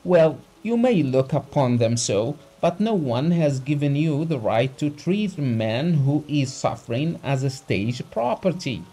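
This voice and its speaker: A furious country doctor, as a male reader puts him on, raised and loud, spitting contempt at a rich man. He shouts in a high, strained voice, slow and heavy on each word. Bitter, scornful audiobook character acting. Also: animated, full character voice, rough recording. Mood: outraged.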